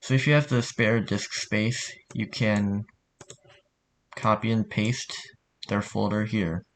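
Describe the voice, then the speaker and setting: A man's voice: fairly low pitch, fairly even intonation, takes it slowly. A man giving a casual spoken tutorial in an informal, offhand way. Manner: informal, offhand, mildly sweary. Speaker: tutorial narrator.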